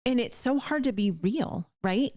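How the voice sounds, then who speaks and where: A woman's voice: on the high side, lively intonation, a little slow, dark tone, no echo. Woman host in a close, dry recording. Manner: earnest, emphatic, directly addressing listeners. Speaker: podcast host. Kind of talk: heartfelt conversational encouragement.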